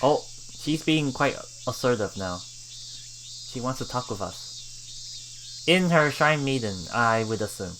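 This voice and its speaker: Female game streamer, extremely low pitch, lively delivery, reads story text aloud with casual playful commentary. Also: slow, long pauses, noisy background. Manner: playful, expressive. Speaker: let's play streamer.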